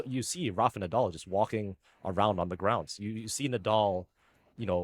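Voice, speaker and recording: Man, Filipino, emphatic and excited, low-pitched voice. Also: dry recording. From United States. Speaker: tennis coach and channel host. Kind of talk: casual chat.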